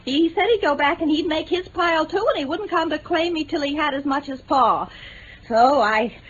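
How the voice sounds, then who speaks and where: An actress playing a candid, warm-hearted young American bride in mid-century radio drama, earnest and folksy. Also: female voice, high voice, moderate pace. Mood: earnest, storytelling. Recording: muffled old radio recording.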